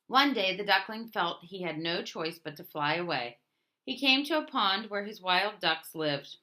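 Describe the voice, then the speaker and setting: A woman reading a children's storybook aloud in an expressive, emphatic way. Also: medium pitch, measured pace. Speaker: storybook reader.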